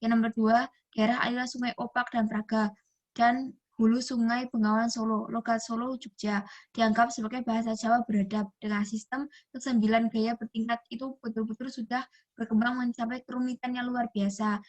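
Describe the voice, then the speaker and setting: A woman's voice: on the high side, little pitch movement, a little fast. A young woman from Indonesia, a university student reading a class presentation aloud from a text and often restarting words. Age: young adult.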